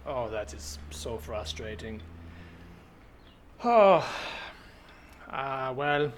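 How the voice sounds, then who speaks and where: A man's voice: mid-range voice, animated, drawn-out words. A man voicing a self-important female robot assassin from the future in improvised comedy, stilted, grandiose and easily exasperated. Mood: frustrated, insistent. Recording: noisy track with ambient soundscape.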